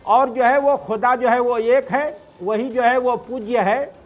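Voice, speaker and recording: A man speaking as a cleric in an interview, explaining at length in a halting, wordy way with repeated filler phrases. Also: very high voice, fairly even intonation.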